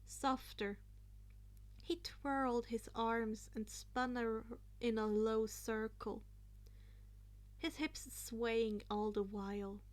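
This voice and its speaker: A woman narrating fan fiction aloud in an expressive, playful amateur style, now and then stumbling over a word. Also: a little high. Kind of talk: expressive amateur story reading.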